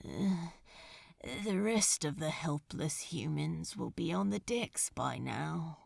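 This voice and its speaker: A woman voicing a weary, injured, grimly practical male demon with a hoarse, gravelly voice. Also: deep voice, expressive, slow, darkish tone, no echo, clean studio sound, pitched below the performer's own voice, full character voice. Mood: resigned.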